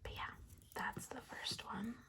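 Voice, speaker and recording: A young woman hosting an ASMR video, speaking softly in a whispery, sometimes raspy voice and chatting in a rambling way with fillers. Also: a little slow. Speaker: ASMR channel host. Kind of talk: soft-spoken ASMR.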